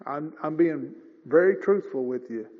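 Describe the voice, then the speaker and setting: A man in his fifties preaching a sermon in a folksy, conversational way, often clearing his throat. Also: on the high side, expressive, moderate pace. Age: 50s. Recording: dull lo-fi sound with long reverb.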